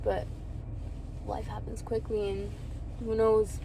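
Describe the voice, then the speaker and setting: A woman's voice: mid-range voice, completely flat delivery, slow, creaky, mellow, a little hiss or hum, low-quality recording. A woman in her early twenties talking candidly about her feelings in a rambling personal vlog, laughing now and then. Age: 20s. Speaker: vlogger.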